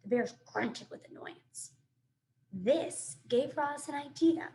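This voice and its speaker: A woman reading a children's book aloud in an expressive, animated storytelling manner. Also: high voice, slow pace, gravelly. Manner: animated, dramatic sound effects and asides.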